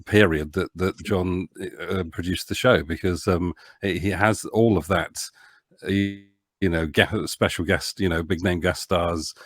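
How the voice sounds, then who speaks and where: A man giving his opinions in a casual podcast discussion, feeling his way haltingly through his explanations. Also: low-pitched, fairly even intonation, dry recording, studio quality. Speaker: podcast panellist.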